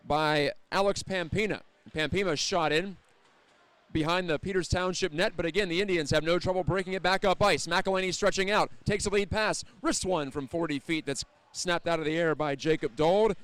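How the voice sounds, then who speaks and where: Clear-voiced American man calling hockey. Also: fairly high pitch, no echo. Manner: detailed, descriptive call of the action. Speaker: play-by-play hockey announcer. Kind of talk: live sports commentary.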